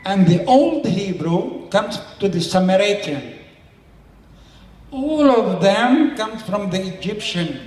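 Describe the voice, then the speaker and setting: A man's voice: sits high, big pitch swings, takes it slowly, a little mellow, echoey room, in a large hall, low-quality recording. An older man whose first language is Syriac, speaking plain non-native English in short phrases as an interviewee and community elder. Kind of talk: explaining to a small audience.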